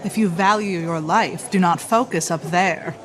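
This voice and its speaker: A woman's voice: fairly low pitch, normal speed, slight background noise, natural voice. An actress playing a gossipy, dramatic, loyal theatre-going woman in a radio play, declaiming rhymed verse rhythmically. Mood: warning.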